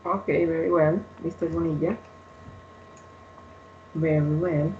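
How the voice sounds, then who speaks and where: A woman's voice: low-pitched, steady tone, measured pace. A woman, a tutor leading a class video call who repeats short praise. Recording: muffled call audio, faint noise.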